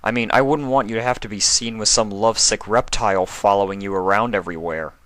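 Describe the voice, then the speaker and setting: A man's voice: fairly low pitch, fast, a bit bright, no room sound, voice pushed up, lightly acted. A man voicing a hurt young male pony in a story reading, earnest and emphatic. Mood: accusing.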